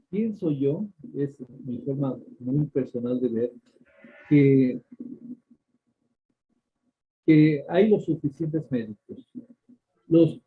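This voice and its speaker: An older man with a Mexican accent, a doctor answering interview questions in a measured way, pausing often and restarting his sentences. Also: fairly high pitch, breathy edge. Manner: measured, many hesitations and restarts. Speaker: ophthalmologist guest. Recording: tinny phone-like line.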